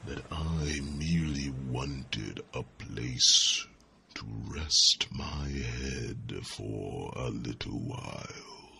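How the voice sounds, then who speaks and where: A man voicing a huge ancient dragon in an ASMR fantasy roleplay, a calm, gentle mentor with a gravelly rumble and a deliberate, ruminative manner full of thoughtful hums. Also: heavily acted. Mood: wistful, reflective.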